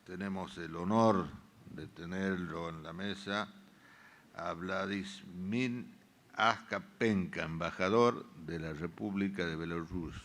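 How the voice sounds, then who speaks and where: A man's voice: sits low, steady tone, slow, a bit bright. A man moderating a panel, giving formal, ceremonious introductions.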